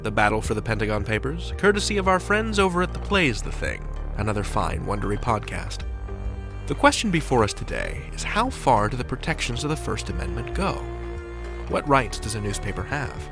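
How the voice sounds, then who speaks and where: A man's voice: mid-range voice, very animated, a little fast, music in the background. A man hosting a podcast, reading scripted narration. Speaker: podcast host.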